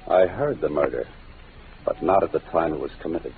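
An actor in a 1940s radio drama playing a confident, wisecracking detective with a dry, clipped delivery. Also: male voice, extremely low pitch, lively intonation, normal speed, slight background noise. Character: hard-boiled wisecracking male detective. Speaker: lead of a radio mystery. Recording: tinny old radio broadcast with music.

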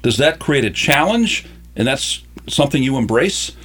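A man's voice: mid-range voice, big pitch swings, normal speed, a bit bright. An older man interviewing a guest, asking conversational questions with chatty, self-deprecating asides. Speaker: interviewer, local show host.

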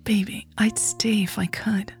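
A woman voicing a tender, secretive woman in an audiobook, speaking softly and breathily in a gentle, apologetic, pleading manner. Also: mid-range voice, little pitch movement, unhurried, soft background music, voice pushed up. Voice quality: soft, breathy, half-whispered. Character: Elle, tender secretive woman leaving her lover at night. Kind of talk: audiobook character dialogue.

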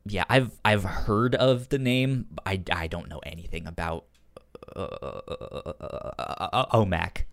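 A man with a fairly low voice chats casually as a podcast co-host. He is halting and informal, often stuttering on sounds and syllables. The recording is very dry, with no room sound. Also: vocal fry, no echo. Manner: loose and informal, halting delivery. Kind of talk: casual comic book discussion.

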